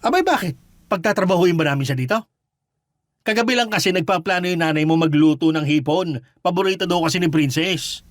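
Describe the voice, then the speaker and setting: An actor playing a blustering, gruffly fond father who scolds and needles in a comic Tagalog radio drama. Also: on the high side, brisk, dry recording, clean studio sound, lightly acted. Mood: nagging.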